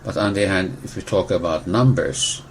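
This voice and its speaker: A man explains medical matters in measured, careful sentences. He often stops to correct and rephrase himself for precision, and a faint hiss sits under his words. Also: low-pitched, poor audio. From Turkey. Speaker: physician, disease expert. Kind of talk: explanatory medical interview answers.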